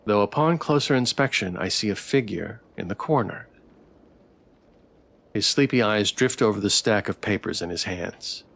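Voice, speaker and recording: A man live-streaming a story game, switching between casual, playful, halting chat with viewers and reading narration aloud. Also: fairly low pitch, expressive, creaky, fairly bright tone. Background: background music.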